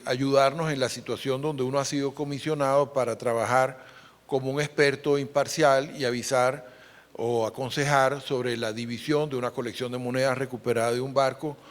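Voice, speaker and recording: Man lecturing in a reverberant hall. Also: mid-range voice. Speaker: interpreter presenting a speaker's lecture. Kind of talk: conference lecture on numismatics, relayed for another speaker. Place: large conference hall. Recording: echoey hall with long reverb.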